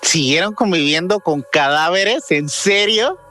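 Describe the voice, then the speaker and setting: A man's voice: high-pitched, animated, measured pace, pushed and bright, faint music behind. A man chatting casually on a podcast, reacting in an exclamatory, slangy way with swearing. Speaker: podcast panelist.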